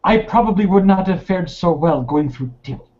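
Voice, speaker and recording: A man's voice: high voice, expressive, normal speed, voice pushed up. A man playing a dry, courteous male bar owner who speaks in clipped phrasing with dropped articles, over lo-fi voice chat. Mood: dry, amused.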